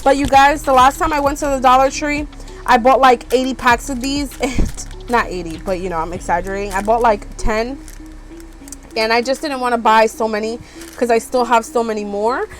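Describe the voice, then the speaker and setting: A woman's voice: fairly high pitch, normal speed, soft background music. Stuffy-nosed chatty woman vlogger. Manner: chatty, rambling, many ums and "you guys". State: stuffed up from allergies. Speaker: beauty vlogger. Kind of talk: casual haul chat. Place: small RV room.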